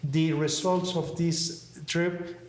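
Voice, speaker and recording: A man's voice: on the high side, steady tone, takes it slowly, darkish tone. A middle-aged man with a Mexican Spanish accent, co-hosting an interview show and speaking earnestly while searching for words in his second language. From Mexico City. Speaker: co-host. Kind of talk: conversational interview talk. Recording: echoey room.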